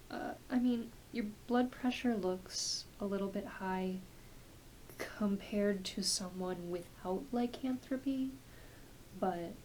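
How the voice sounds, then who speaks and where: A woman playing a kind, reassuring doctor in a soft-spoken ASMR roleplay, talking in a gentle, hesitant, conversational way. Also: mid-range voice, slight background noise, close to an everyday voice, poor audio. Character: kind, reassuring doctor who treats werewolves.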